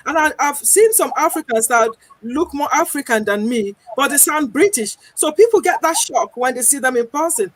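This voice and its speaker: A woman with a Nigerian accent speaks earnestly and deliberately, her voice pitched a little high. She pauses often to gather her words, and the recording is dry and close. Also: lively intonation, no room sound, low-quality recording. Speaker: guest. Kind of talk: panel discussion.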